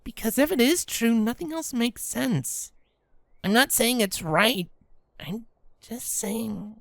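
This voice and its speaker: Grown female pegasus, acted by a woman: slightly gravelly, soft and earnest, big-sister protectiveness, dry recording. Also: fairly high pitch, slow, dry recording, exaggerated voice. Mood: hesitant, gentle. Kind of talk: dramatic audio story acting.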